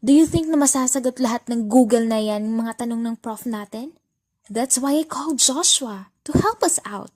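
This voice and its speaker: A woman's voice: a little high, moderate pace, a little mellow, natural voice. A young woman with a Filipino accent playing a sassy, exasperated student in an amateur radio drama, slipping between casual talk and English phrases. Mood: annoyed. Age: young adult. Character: sassy, exasperated young female student, a blunt best friend.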